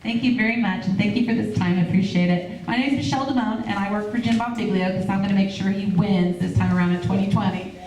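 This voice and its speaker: A woman's voice: mid-range voice, fast, few pauses, a little mellow. Upbeat woman announcing in echoey hall. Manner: upbeat, persuasive invitation. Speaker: campaign manager. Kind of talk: announcement at a community meeting. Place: community center room. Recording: echoey room, far from the mic, room noise.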